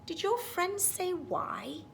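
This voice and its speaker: A woman's voice: extremely high pitch, very animated, slow, creaky, fairly bright tone, a little hiss or hum, pitched above the performer's own voice, heavily acted. A woman voicing a kind, patient female schoolteacher in a children's storybook. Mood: sympathetic. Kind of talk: storybook character voice.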